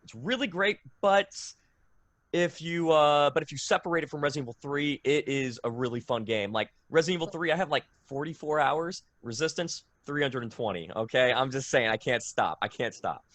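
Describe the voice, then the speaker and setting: A man's voice: on the high side, expressive, fairly quick, fairly bright tone, no echo. An enthusiastic guy rambling through questions on a gaming fan's podcast, recorded close and dry. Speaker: interviewer, video game fan. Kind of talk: casual fan interview chat.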